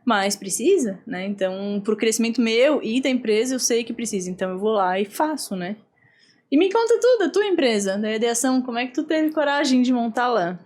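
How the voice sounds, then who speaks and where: A woman's voice: fairly high pitch, animated, fairly quick, darkish tone. A Brazilian woman hosting a casual podcast chat. She talks bluntly and expressively, swears freely and acts out what other people said. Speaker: podcast host.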